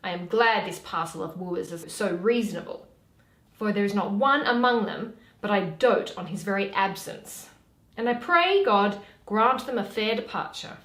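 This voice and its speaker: A woman's voice: mid-range voice, animated, normal speed, a bit bright. A woman playing a witty, mischievous young heiress in a theatrical Shakespearean reading, arch and teasing. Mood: wry, resolute. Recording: roomy, echoey home recording.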